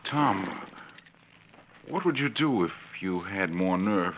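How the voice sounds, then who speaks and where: An actor playing an earnest, trusting young orphaned ranch hand in a 1940s radio western, humble and folksy. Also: male voice, deep voice, animated, slow pace. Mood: earnest, concerned. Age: young adult. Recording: muffled, noisy old 1940s radio recording.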